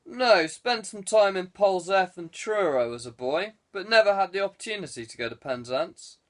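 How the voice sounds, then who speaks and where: A man's voice: high voice, animated, moderate pace, fairly bright tone, pitched above the performer's own voice. A man voicing a clever, guarded man who speaks with courteous evasiveness in an audiobook. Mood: guarded politeness. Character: guarded, clever man fending off police questions. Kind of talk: audiobook character dialogue.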